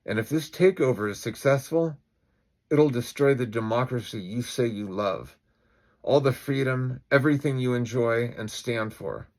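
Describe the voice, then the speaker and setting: A man playing a calm, defiant citizen vigilante with deliberate, declamatory speech in an acted-out story. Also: mid-range voice, natural voice. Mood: grim, resolute.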